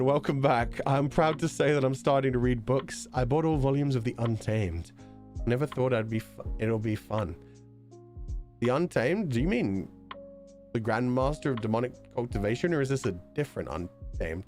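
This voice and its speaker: A man hosting a casual stream, his throat sore from being unwell. He talks in a middle-pitched, easygoing way, laughing often and swearing now and then. Quiet music plays faintly in the background. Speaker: livestreamer. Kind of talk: casual chat reading viewer donations.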